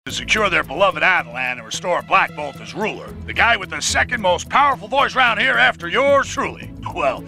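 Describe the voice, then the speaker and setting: Gravelly, showy comic narrator. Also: male voice, sits high, expressive, a little fast, hardly any pauses, sharp tone, soft background music, full character voice. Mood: boastful, jokey. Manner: showy, wisecracking, self-important. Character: boastful, jokey storyteller narrator addressing the audience. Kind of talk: comic scripted game narration.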